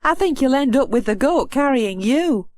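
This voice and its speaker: A woman's voice: sits high, lively intonation, normal speed, darkish tone, dry recording. A woman voicing an exasperated, practical wife and mother in a children's storybook recording. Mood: resigned, amused. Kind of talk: audiobook character acting.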